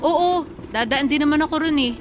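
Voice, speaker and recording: An actress playing a tough, frank female tricycle driver, guarded in love, with brisk plain-spoken banter in a radio drama. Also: high-pitched, natural voice. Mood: brisk, bossy. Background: music.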